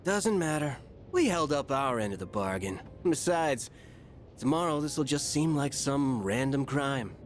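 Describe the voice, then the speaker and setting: A man's voice: mid-range voice, lively intonation, measured pace, a little hiss or hum, lightly acted. A man playing a smug, streetwise hired avenger in a video game, with a casual, mocking drawl. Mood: callous.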